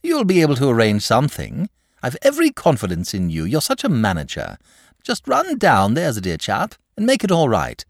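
A man's voice: medium pitch, wildly swooping pitch, moderate pace, vocal fry, dry recording, studio quality, voice pushed up, exaggerated voice. A man voicing a lazy, languid dragon. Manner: lazy, solemn, drawling. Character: lazy, gentle, cowardly male dragon.

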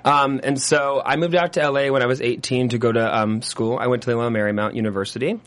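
A young man from New Hampshire chats as an interview guest in a medium-pitched voice. He talks in an animated, gossipy way and loves to repeat himself for emphasis. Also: quick pace. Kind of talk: casual interview chat.

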